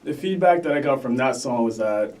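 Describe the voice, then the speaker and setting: A young man talks easily about his music, loose and unhurried, with plenty of fillers. His voice is mellow and soft-edged, and it sits a little back from the mic. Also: mid-range voice, expressive, distant mic. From Canada. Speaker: guest rapper. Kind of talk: casual interview chat.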